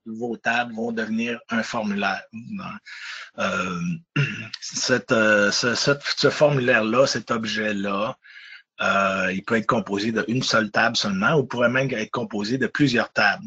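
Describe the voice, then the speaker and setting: A man with a Quebec French accent gives a relaxed webinar presentation, congested from a cold. His voice is husky and comes through dull, lo-fi webinar audio. He hesitates often, with fillers and restarts. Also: medium pitch. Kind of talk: casual explanatory webinar.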